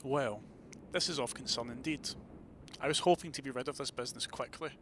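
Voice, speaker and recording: A man's voice: mid-range voice, a little fast, noisy background, lightly acted. A man playing an authoritative vampire prince in an audio drama, formal, courteous and imperious. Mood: businesslike, composed.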